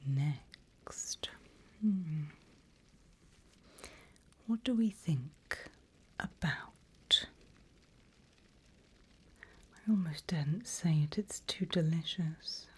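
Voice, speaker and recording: A woman playing a seasoned demon guide in an ASMR roleplay, teasing, sinister and silkily condescending, in a breathy, drawling whisper. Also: sits low, lively intonation, heavily acted. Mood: silky, sly. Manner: slow, drawling, teasing, mock-sweet.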